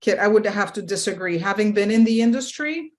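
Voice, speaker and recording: A woman guest explaining industry matters in a casual talk, checking in with quick tag questions as she goes. Her voice sits at an ordinary middle pitch. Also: expressive. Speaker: guest, former industry professional. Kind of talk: casual conversation.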